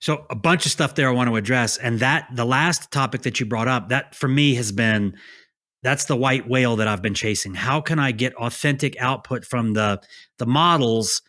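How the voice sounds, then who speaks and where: A man's voice: medium pitch, normal speed, pushed and bright. A man hosting a podcast, reading an upbeat intro and then chatting with a guest, often affirming with short yeahs. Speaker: podcast host. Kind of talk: scripted intro, then casual interview chat.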